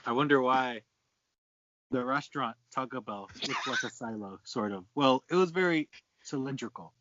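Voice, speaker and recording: A man's voice: medium pitch, no echo. A man casually reading fan fiction aloud, stumbling over lines and breaking off to swear and comment. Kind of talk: casual read-aloud with banter. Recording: dry, dull lo-fi close mic.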